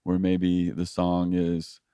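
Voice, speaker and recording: A man's voice: low voice, monotone, slow pace, clear, resonant tone, no echo, clean studio sound. A man hosting a casual podcast interview, affirming his guest with short replies and asking easygoing questions. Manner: warm affirming listener, short "yeah" replies. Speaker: podcast host.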